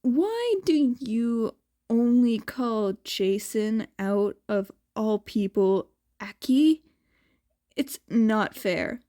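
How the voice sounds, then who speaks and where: Cheeky, needling teenage boy played by a woman reader, higher in pitch, for narrated fiction. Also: expressive, extremely slow, warm tone. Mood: whiny. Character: cheeky, nosy teenage boy sleuth, pleading and teasing.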